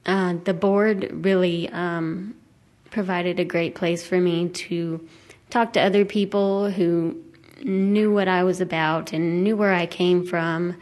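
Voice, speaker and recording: A woman giving a personal testimony to a group in a hesitant, halting way. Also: on the low side, fairly even intonation, a little mellow. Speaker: attendee sharing personal story at a conference.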